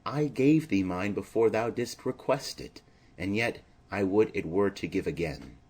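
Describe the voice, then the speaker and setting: A man voicing a smitten, anxious young maiden in earnest, measured Shakespearean verse, as in an audio drama. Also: lowish voice, lively intonation, darkish tone, husky, heavily acted. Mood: loving.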